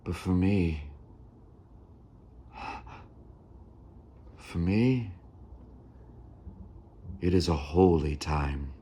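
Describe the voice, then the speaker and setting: A man playing an earnest young nineteenth-century touring actor, telling his life in a measured, theatrical dramatic monologue. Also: deep voice, dark tone, slightly rough, full character voice. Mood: hushed, reverent. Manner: theatrical, measured, with weighty pauses. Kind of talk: dramatic first-person monologue.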